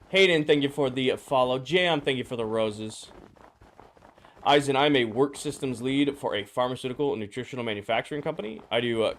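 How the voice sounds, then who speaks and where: A man streaming a game, his voice slightly hoarse and drowsy, casually greeting viewers and answering chat questions. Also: mid-range voice. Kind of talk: casual live-stream chat while playing.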